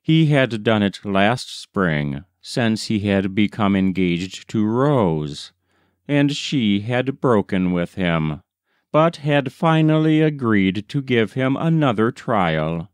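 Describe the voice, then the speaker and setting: Male audiobook narrator for literary fiction: lowish, clear resonant voice, measured delivery, dry recording. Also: big pitch swings, no echo. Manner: measured, deliberate storytelling. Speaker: audiobook reader. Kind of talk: scripted literary narration of a short story.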